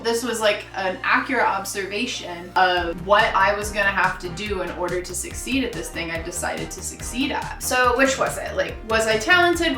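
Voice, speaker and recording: Deep-voiced woman, bright and roomy. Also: distant mic. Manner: earnest, reflective, many "like" fillers. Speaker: sewing vlogger. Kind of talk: casual personal storytelling to camera.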